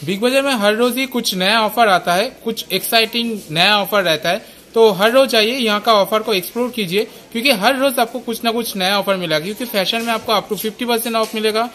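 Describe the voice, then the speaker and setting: A man in his thirties, a store manager, pitching the day's offers in an on-camera interview inside a large shop, eager and rambling, into a handheld mic. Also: a little fast, hardly any pauses, bright, forceful tone. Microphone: handheld reporter mic.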